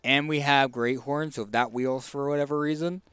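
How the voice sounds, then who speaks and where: A man with a raspy, hoarse voice casually commenting on a card game as he picks cards, thinking aloud with fillers and restarts. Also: medium pitch, fairly even intonation, normal speed, fairly bright tone, no room sound. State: hoarse, tickly throat. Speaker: streamer.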